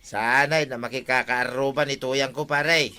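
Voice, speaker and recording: A man's voice: medium pitch, steady tone, normal speed, pushed and bright, smooth, close to an everyday voice. A man playing an exasperated villager in a radio comedy drama, complaining and exclaiming with an Ilocano Filipino accent. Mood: grumbling.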